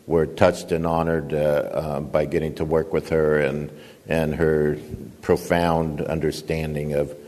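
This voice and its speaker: An older man giving a conference speech, booming and halting, with many fillers. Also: warm tone, big-room sound. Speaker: guest speaker, nonprofit founder and director.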